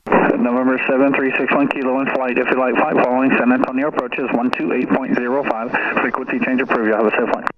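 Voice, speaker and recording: A man's voice: medium pitch, fast talker, hardly any pauses. An American man working as an air traffic controller, giving clipped procedural instructions over aviation radio. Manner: procedural, flat. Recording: tinny aviation radio with faint static.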